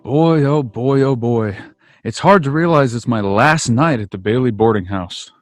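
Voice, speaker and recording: A man's voice: medium pitch, normal speed, dry recording, faint music behind, natural voice. Man playing an eager, boyish young man, dry sound. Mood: eager, affectionate. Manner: folksy, earnest. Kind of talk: old-time radio play acting.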